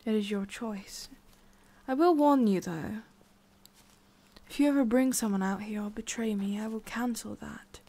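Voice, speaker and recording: A woman playing a lonely, guarded immortal witch in an intimate ASMR roleplay, speaking softly and often dropping to a whisper. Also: fairly high pitch, a little slow. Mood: guarded, gentle, then stern warning.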